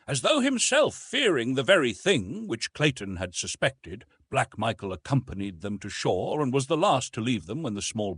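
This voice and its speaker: A man's voice: medium pitch, a bit bright, husky, no echo, clean studio sound. A man reading an adventure novel as a narrator, formal, solemn and dramatic in his storytelling. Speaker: audiobook narrator.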